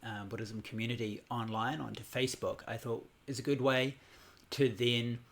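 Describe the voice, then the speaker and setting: A man from New Zealand in his forties, teaching a Buddhism class over a casual livestream in a relaxed, rambling way with many fillers and restarts. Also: medium pitch, moderate pace. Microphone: computer or phone livestream.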